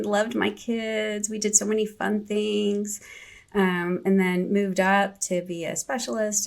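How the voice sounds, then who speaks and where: A woman in her forties, a school administrator talking as an interview guest, warm and chatty, laughing often. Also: fairly low pitch, creaky. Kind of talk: casual interview chat.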